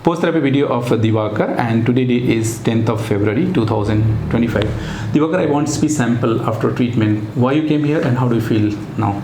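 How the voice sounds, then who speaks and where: A man's voice: mid-range voice, fast talker, few pauses, music in the background. A man with an Indian accent, speaking as a voice therapist conducting a formal case interview. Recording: echoey room, faint background noise.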